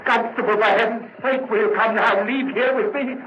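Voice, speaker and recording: An actor playing a nervous, guilt-ridden murderer who stammers and grows agitated, in old-time radio drama style. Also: male voice, extremely high pitch, fairly even intonation, normal speed. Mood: frantic. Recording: muffled old radio recording, loud noise.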